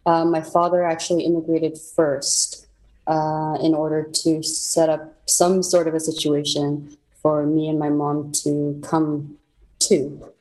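A woman's voice: sits low, level tone, takes it slowly, low-quality recording. A young woman from South Korea, telling her immigration story as an interview guest, reflective with frequent ums. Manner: reflective, many ums and soft laughs. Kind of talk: personal storytelling.

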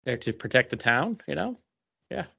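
A man's voice: mid-range voice, very animated, normal speed, gravelly, pushed and bright, lo-fi sound. A man co-hosting a casual podcast, chiming in playfully with short remarks and questions. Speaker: podcast co-host. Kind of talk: casual chat.

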